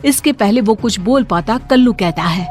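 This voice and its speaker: A woman's voice: on the high side, animated, normal speed, noisy background. A woman narrator reading a scripted suspense story.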